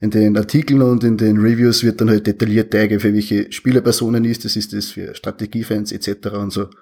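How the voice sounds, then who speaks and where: A man with an Austrian accent, a guest who reviews games as a hobby, answering questions in a relaxed, chatty way. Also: on the low side, fast talker, breathy edge. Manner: relaxed, laughs between sentences. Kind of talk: casual interview.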